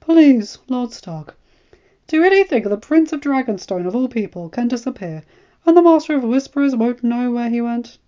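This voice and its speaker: A woman's voice: fairly high pitch, lively intonation, normal speed, dark tone, highs cut off, voice pushed up, close to an everyday voice. A woman voicing a shrewd male royal spymaster who speaks formally, in a knowing, indulgent manner. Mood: knowing, insistent.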